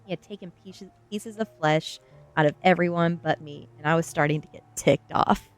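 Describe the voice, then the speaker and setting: A woman reading a first-person story aloud in an ASMR style, narrating with expressive, dramatic emphasis. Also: on the low side, moderate pace, faint music behind. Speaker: streamer reading a novel aloud.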